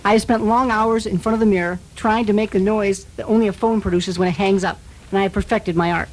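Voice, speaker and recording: A woman plays a mysterious anonymous informant in a radio comedy. The voice is medium-pitched for a woman, earnest and self-serious, as if each tip were grave business. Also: steady tone, quick pace, a little mellow, a little hiss or hum, natural voice, low-quality recording. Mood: earnest, wounded pride. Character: earnest, secretive anonymous phone tipster. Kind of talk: comedic detective radio drama.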